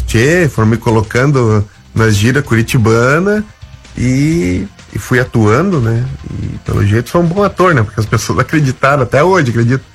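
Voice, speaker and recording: A man from southern Brazil with a Gaúcho accent, telling anecdotes casually as an interview guest and acting out quoted lines. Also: medium pitch. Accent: Gaúcho Brazilian Portuguese. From southern Brazil (Rio Grande do Sul). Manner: animated anecdotes, acts out quoted dialogue.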